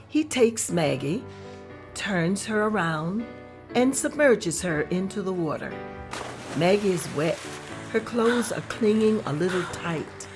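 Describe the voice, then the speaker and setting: Woman reading scene directions at a table read, kinda low voice, faint music underneath. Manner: plain, steady descriptive reading. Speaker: narrator reading stage directions.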